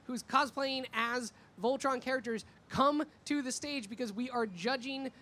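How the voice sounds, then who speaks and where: A woman's voice: high voice, a little slow, sharp tone. A woman hosting a casual, joking on-stage interview for a convention live stream. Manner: joking, informal.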